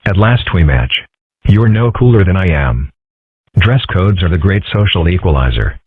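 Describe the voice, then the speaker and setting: A man's voice: low voice, lively intonation, normal speed, husky, no echo. A man voicing a geeky, laid-back young fox boy in a comic dub, dry and matter-of-fact. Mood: wry. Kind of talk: amateur comic strip dub. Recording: muffled, phone-like lo-fi audio.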